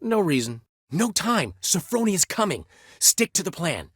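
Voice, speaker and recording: A man's voice: sits high, very animated, a little slow, a bit bright, breathy edge, no echo, clean studio sound, heavily acted. An actor voicing a vain, witty male bard in a video game, speaking in flamboyant, florid phrases. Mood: chatty. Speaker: game character. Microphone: dry studio recording.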